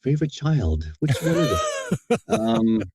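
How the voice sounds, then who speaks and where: A man's voice: on the high side, wildly swooping pitch, a little slow, darkish tone, breathy edge, no room sound, studio quality. A man talking casually as an interviewed audiobook narrator, rambling and correcting himself with enthusiasm.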